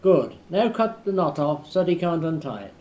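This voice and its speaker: A man's voice: fairly high pitch, very animated, moderate pace, darkish tone. A man in an amateur family radio-comedy reading, playing a bossy, scheming villain with a commanding manner. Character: bossy, scheming male villain giving orders. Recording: dull, noisy old 1970s cassette tape.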